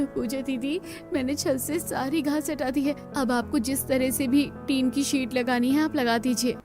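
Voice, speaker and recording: A woman's voice: high voice, steady tone, measured pace, music in the background. A woman voicing a practical, hopeful young daughter-in-law of a poor family in a cartoon story. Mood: cheerful.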